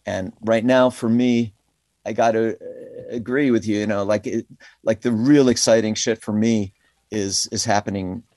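A man's voice: lowish voice, fairly even intonation, moderate pace, a little mellow, no room sound. Middle-aged male musician, interview guest: casual, rambling, enthusiastic, dry close sound. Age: middle-aged or older. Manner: rambling, enthusiastic, frequent "you know" and "like". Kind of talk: casual, reflective interview conversation. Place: calling in remotely to a podcast.

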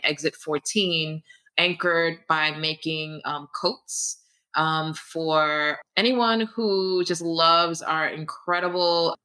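Guest author: woman, low pitch, crisp bright tone, relaxed rambling answers with ums. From Queens, New York, United States. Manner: friendly, laughs easily, many ums and restarts. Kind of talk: conversational interview.

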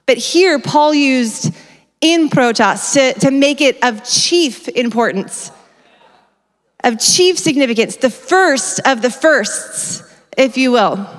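Woman preacher, fairly high voice, conversational, self-correcting, long church-hall reverb. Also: animated, slow. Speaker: conference speaker. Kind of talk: sermon.

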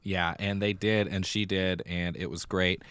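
A man's voice: low voice, little pitch movement, unhurried, a bit bright, smooth, no room sound. A man co-hosting a podcast, chatting casually and emphatically about musical theatre. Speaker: podcast co-host.

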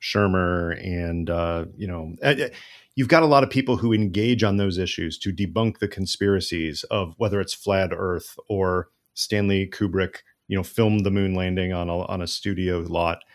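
A man hosting a podcast interview in a conversational, measured and attentive way. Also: sits low.

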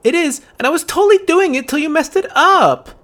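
A man voicing a stubborn, sharp-tongued teenage girl who snaps back indignantly. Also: lively intonation, very slow, exaggerated voice. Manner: shrill, indignant, snapping back.

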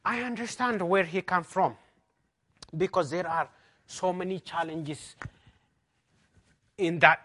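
A man's voice: high voice, takes it slowly, pauses often, pushed and bright. A man pastor from Ethiopia with an Ethiopian accent, giving an emphatic personal testimony as a conference talk in non-native English.

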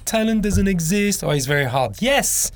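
A middle-aged man from Hong Kong, a native French speaker, answering as a guest in a relaxed podcast interview, rambling and joking with many restarts. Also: sits high, normal speed. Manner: rambling, jokey, repeats words.

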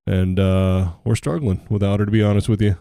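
A middle-aged man from the United States trading jokes as a podcast co-host, his talk sarcastic and wandering off on tangents. His voice sits a bit low and sounds dark and mellow, in a dry, close setting with no room echo. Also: fairly even intonation, brisk, vocal fry. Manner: joking, sarcastic, rambling. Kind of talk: casual chat.

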